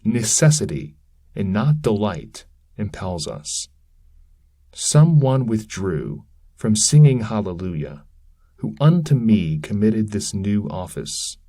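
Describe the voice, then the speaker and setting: A man voicing a calm, authoritative poet guide in a verse reading, explaining things solemnly and formally. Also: lowish voice, slow pace, dry recording, studio quality, full character voice. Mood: solemn, firm.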